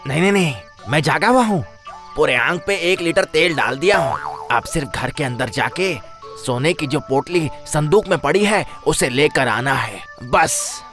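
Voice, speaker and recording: A woman's voice: deep voice, lively intonation, moderate pace, a bit bright, faint music behind. A woman voicing a short, bumbling, cocky male village thief in a comic cartoon, grumbling and bossing. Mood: hushed, bossy. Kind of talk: comic cartoon story acting.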